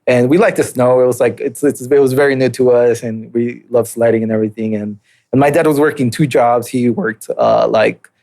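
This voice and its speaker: A man's voice: mid-range voice, steady tone, brisk, creaky, darkish tone. A man in his late twenties, born in Mexico, telling his life story as a podcast guest in a laid-back, hesitant way, with many fillers and restarts. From Mexico, raised in southern California and Utah. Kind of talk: casual interview storytelling.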